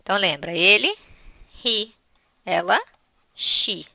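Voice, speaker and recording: Brazilian lady teacher explaining grammar step by step, bright voice w/ some creak, dry close sound. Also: mid-range voice, takes it slowly, no echo. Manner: didactic, conversational, spells points out step by step. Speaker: English teacher. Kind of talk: grammar lesson with examples and check-in questions.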